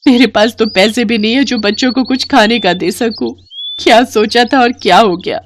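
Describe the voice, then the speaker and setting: A woman's voice: on the high side, steady tone, moderate pace, slight background noise, lightly acted. A woman voicing a poor, loving village mother in a moral story, earnest and plaintive. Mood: despairing. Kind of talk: Hindi moral story voice acting.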